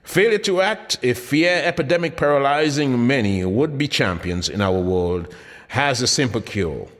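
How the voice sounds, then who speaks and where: A man reading his own motivational audiobook in an emphatic, deliberate style with dramatic pauses. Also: medium pitch, expressive. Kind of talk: scripted motivational audiobook narration. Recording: long hall-like reverb.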